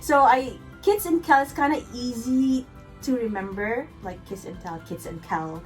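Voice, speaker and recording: Chatty Filipina co-host, fairly high voice, quick to giggle, faint music underneath. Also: female voice. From Philippines. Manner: chatty, giggly, self-correcting. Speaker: vlogger co-host. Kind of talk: casual couple chat.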